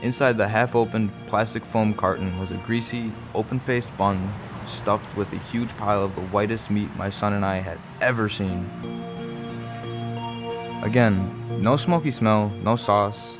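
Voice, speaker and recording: A man plays a middle-aged father narrating a radio play in a low voice. His telling is wry and measured. Music plays clearly behind him. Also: close to an everyday voice. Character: wry travelling father telling a strange roadside tale. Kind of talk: scripted first-person radio play narration.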